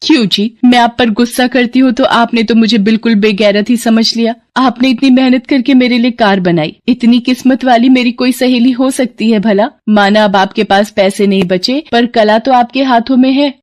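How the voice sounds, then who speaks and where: A woman voicing a supportive young wife in an animated moral story, reassuring and emphatic. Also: fairly high pitch, moderate pace, few pauses, voice pushed up, close to an everyday voice. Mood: encouraging.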